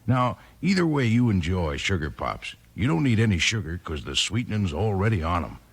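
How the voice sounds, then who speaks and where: A man's voice: low-pitched, very animated, moderate pace, breathy edge. A man reading an upbeat 1950s radio advertisement as a commercial announcer.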